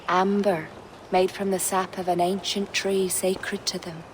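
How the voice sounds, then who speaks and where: A woman's voice: mid-range voice, little pitch movement, unhurried, lightly acted. Actress as a grave druid woman, knowing, faint background noise. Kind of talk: video game dialogue.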